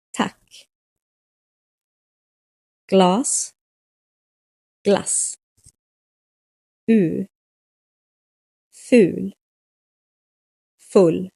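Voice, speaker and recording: A woman narrating a language lesson in a slow, measured way. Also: medium pitch, creaky, warm tone, dry recording.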